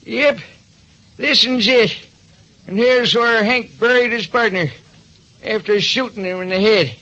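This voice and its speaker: A man's voice: sits high, measured pace, pushed and bright, pitched above the performer's own voice. A man voicing a crafty old American prospector with a folksy, cackling drawl in a radio detective drama. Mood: matter-of-fact. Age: older. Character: crafty old Western prospector, shrewd and thirsty. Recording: dull recording with clear noise.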